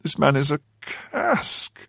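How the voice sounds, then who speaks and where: A man's voice: fairly high pitch, sing-song, takes it slowly, gravelly, a bit bright, no echo, clean studio sound, voice pushed up, heavily acted. A man voicing a poor, fearful innkeeper who pleads and fawns in a raised voice. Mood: pleading.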